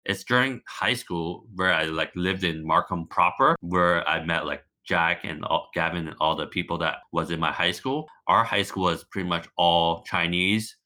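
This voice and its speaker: A young man from Hong Kong, a guest on a podcast, talking in a relaxed, halting way full of fillers. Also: sits low. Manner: relaxed, hesitant, many likes and restarts. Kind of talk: casual interview chat.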